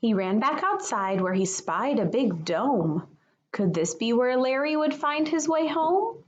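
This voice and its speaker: A woman reads a picture book aloud for young children. Her voice sits fairly high and swings widely in pitch, with an unhurried, expressive teacherly delivery. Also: muffled recording. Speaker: kindergarten teacher reading aloud.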